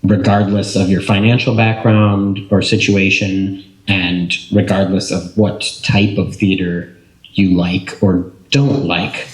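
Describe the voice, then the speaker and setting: Man, actor panelist, slow reflective delivery, echoey event room. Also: deep voice, flat delivery. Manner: thoughtful, searching for words, laughs lightly. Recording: roomy echo.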